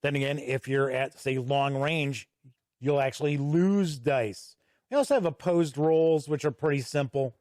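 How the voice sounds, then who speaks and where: A man's voice: medium pitch, measured pace, darkish tone, no echo, clean studio sound. A middle-aged man giving a casual, conversational review commentary that explains game rules.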